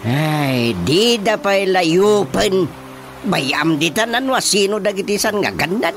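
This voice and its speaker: Blustering village chairman male actor, Ilocano accent, unhurried, exclamatory delivery, radio drama with music underneath. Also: high voice, expressive, fairly bright tone, heavily acted. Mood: grumbling.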